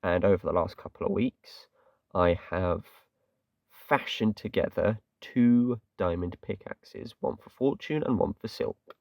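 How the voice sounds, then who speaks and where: A man's voice: low-pitched, a little slow, creaky, no echo, distant mic, muffled recording. A man with an English accent chatting casually and a bit ramblingly as he talks through his game. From England. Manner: chatty, rambling, self-deprecating, lots of fillers like "kinda". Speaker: gaming channel host. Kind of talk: casual gameplay commentary.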